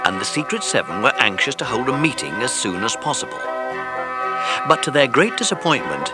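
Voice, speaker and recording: A man's voice: mid-range voice, very animated, fairly quick, loud music behind the voice. A man reading scripted narration for a children's audiobook.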